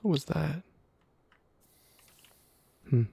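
Guy doing asmr gaming, slow whispery croaky muttering, dry delivery, right up on the mic. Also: fairly high pitch, lively intonation, dark tone, a little hiss or hum. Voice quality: breathy whisper. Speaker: gaming video creator. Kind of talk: whispered ASMR game commentary.

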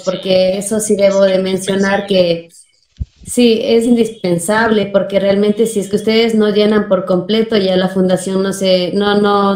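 A woman's voice: mid-range voice, a little mellow. A young woman with an Ecuadorian accent, explaining things as a guest in a video-call interview. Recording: roomy, echoing video call.

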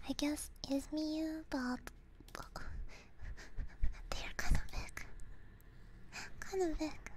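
A woman streaming ASMR, chatting casually to viewers in a soft, breathy whisper close to a binaural mic, repeating short phrases playfully. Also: high-pitched, steady tone, extremely slow, pauses often. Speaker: ASMR livestreamer. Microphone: close binaural ASMR microphone. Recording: close ASMR mic with clear background noise.

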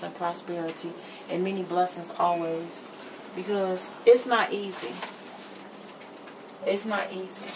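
A middle-aged woman chatting candidly and emphatically to camera as she vlogs from her home kitchen, in a blunt way. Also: on the low side. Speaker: vlogger. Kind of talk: candid chit-chat to camera while cooking. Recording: noticeable background noise in a kitchen.

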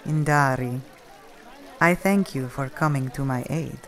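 A man voicing a proud young priestess woman, speaking formally and with measured words. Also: mid-range voice, fairly bright tone, voice pushed up. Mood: earnest. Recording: music bed underneath.

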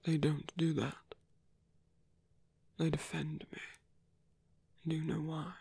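A man playing a seductive, calm villain leader in an ASMR roleplay, breathy and intimate as he slowly coaxes a captive hero. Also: fairly high pitch, no echo, full character voice. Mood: tender, persuasive. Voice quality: breathy, husky, often whispering. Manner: slow, intimate, persuasive. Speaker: voice actor. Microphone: close, dry microphone.

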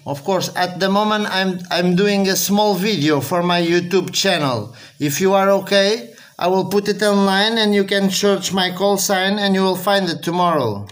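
A man's voice: high-pitched, moderate pace, few pauses. A man from Portugal with a Portuguese accent, speaking as an amateur radio operator making contact calls.

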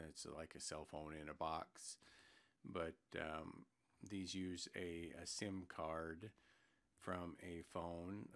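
An American man giving an informal product explainer as a presenter, plain-spoken and hesitant, pausing mid-sentence. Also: low voice, a bit bright. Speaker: product presenter for an intercom seller. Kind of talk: informal product explainer over screen recording.

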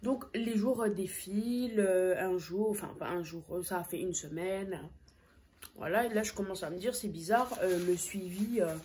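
A woman's voice: medium pitch, normal speed, a little mellow. A young woman telling a story casually while eating on camera, chatting with lots of hesitant fillers, in a small room close to the camera. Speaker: food vlogger.

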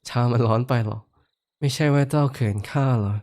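A man voicing a kindly horned giant lord who speaks softly, comforts the listener, and teases playfully in a roleplay audio drama. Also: mid-range voice, brisk, lightly acted. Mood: teasing. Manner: soft-spoken, comforting, playfully teasing. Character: kindly male giant lord with horns, gentle and teasing.

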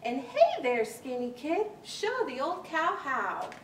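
A woman voicing a bossy, jolly storybook crow, calling a barn dance in loud rhythm. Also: high voice, very animated, takes it slowly, heavily acted. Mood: jolly, commanding. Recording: echoey room, distant mic, faint hiss.

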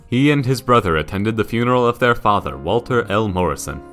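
Man reading news clippings, lively intonation. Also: fairly low pitch, brisk, soft background music. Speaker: reader of newspaper clippings. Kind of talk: scripted reading of old news.

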